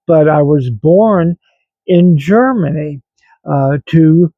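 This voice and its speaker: A man in his seventies with an American accent, talking reflectively and haltingly to online viewers in a rambling personal vlog. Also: fairly high pitch, dry recording, narrow, tinny audio. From grew up in West Virginia, United States. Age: 70s. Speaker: vlog host.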